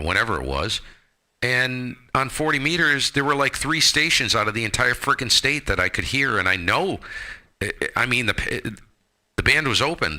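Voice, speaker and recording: A man's voice: on the low side, bright, forceful tone. A man chatting casually as a ham radio operator and host, in an informal, rambling way. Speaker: amateur radio operator and live stream host.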